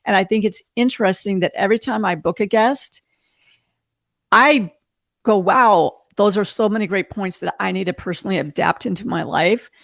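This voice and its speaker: A woman's voice: mid-range voice, normal speed, gravelly, no echo, clean studio sound. A woman podcast host talking casually and reflectively, in a candid, emphatic way. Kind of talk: casual reflective interview talk.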